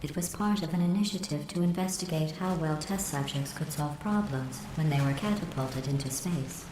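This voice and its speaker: A woman voicing a cold, sarcastic female AI that runs a test facility, with a synthetic robotic edge and a deadpan, passive-aggressive delivery. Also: sits low, moderate pace. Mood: dry sarcastic. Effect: synthetic robotic processing. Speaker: antagonist. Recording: roomy echoing game audio with faint noise.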